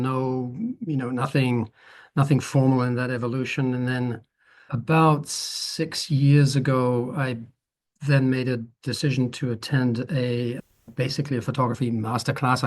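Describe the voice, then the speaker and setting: A man in his fifties from the Netherlands, a guest photographer talking at length in a relaxed interview, thoughtful and rambling with frequent restarts. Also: medium pitch. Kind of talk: conversational interview.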